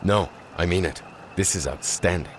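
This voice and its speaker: A man acting as a courtly vampire for a video game, with an extremely deep voice. He is reserved and formal, choosing measured words. Noise or music sits behind him. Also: natural voice. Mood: polite, reserved. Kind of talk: scripted game dialogue.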